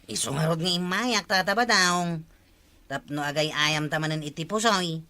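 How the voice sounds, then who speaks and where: A man's voice: on the high side, normal speed, pushed and bright. A man with an Ilocano accent playing an eager card-playing villager in a comedy drama.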